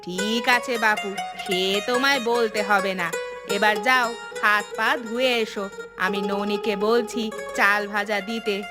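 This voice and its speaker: A woman's voice: medium pitch, steady tone, takes it slowly, music in the background, lightly acted. A woman voicing a bossy, nagging elderly village wife in a cartoon, with a drawling, folksy way of scolding. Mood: curious, bossy. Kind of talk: cartoon voice acting.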